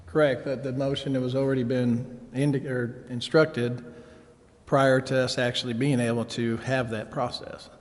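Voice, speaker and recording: A man's voice: mid-range voice, flat delivery, normal speed. A man speaking as a council member, questioning procedure in a meeting discussion. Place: indoor council chamber. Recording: reverberant council chamber.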